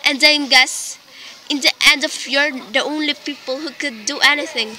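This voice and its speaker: Woman playing exasperated imperious wife, high voice, piercingly bright, melodramatic, insistent, amateur radio play. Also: steady tone, moderate pace. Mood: agitated, pleading. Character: anxious, imperious, exasperated invalid wife reporting a murder plot.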